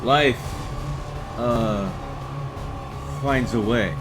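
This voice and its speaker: A man's voice: mid-range voice, drawn-out words, music in the background. A man giving casual, offhand commentary while streaming a video game.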